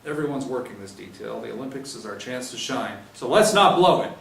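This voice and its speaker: A man's voice: mid-range voice, expressive, fairly quick, creaky, a bit bright. A man voicing a stern, no-nonsense police sergeant giving orders with clipped authority, in an audiobook-style story reading. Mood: stern, commanding. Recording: roomy, distant mic, faint noise.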